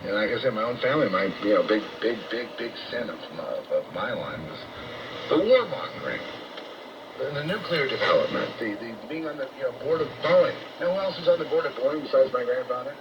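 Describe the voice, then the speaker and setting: An American man giving a rambling, off-the-cuff radio monologue as a host, halting, repetitive, grandiose and coarse-spoken, over shortwave radio. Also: mid-range voice, lively intonation. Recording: muffled, very noisy distant shortwave radio broadcast.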